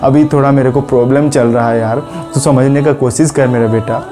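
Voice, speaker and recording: A man's voice: mid-range voice, steady tone, a little fast, over music, close to an everyday voice. A man playing a patient, troubled father in a spoken story, soothing and pleading with his son. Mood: weary pleading.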